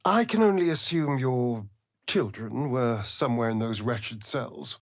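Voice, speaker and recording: An actor with an English accent playing a sardonic, flamboyant vampire spawn rogue in a video game, theatrical and arch in manner. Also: male voice, medium pitch, expressive, measured pace, no room sound, clean studio sound. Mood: grave. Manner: theatrical, arch, drawling with sighs. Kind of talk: video game character acting.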